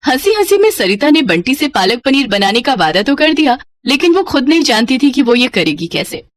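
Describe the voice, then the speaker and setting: A woman narrating a scripted children's story in an expressive storyteller's manner. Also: high voice, fairly quick.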